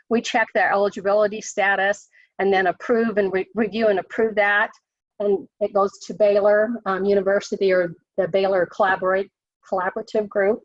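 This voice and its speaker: A woman official with an American accent, hoarse from a cold, briefing on a conference call, halting with many ums and restarts. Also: mid-range voice, no room sound. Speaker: state education official. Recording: dry muffled call audio.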